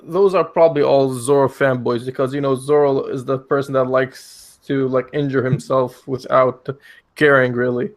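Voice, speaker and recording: A man's voice: mid-range voice, steady tone, normal speed. A man from the Middle East chats on a group call in an eager, agreeable way. His voice sits in a middle register. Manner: enthusiastic, agreeable. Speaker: guest. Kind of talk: casual group chat.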